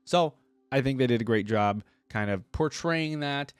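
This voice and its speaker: A man's voice: medium pitch, measured pace, clear, resonant tone, dry recording. A man giving casual reaction commentary as a video host, rambling conversationally and often checking in with the listener.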